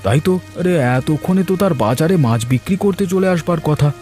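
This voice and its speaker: A man's voice: on the high side, animated, brisk, warm tone, close to an everyday voice. A man voicing a concerned, sensible village fisherman who speaks earnestly and admonishingly in a cartoon folk tale. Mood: worried. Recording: music and rain behind the voice.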